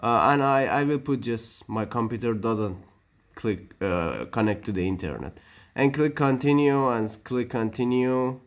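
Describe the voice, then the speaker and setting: Guy whose first language is persian walking thru a computer install, mid voice, slow and halting, lots of uh and restarts. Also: medium pitch. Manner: halting, many fillers and restarts, non-native phrasing. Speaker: tech tutorial maker. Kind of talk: screen-recorded walkthrough narration.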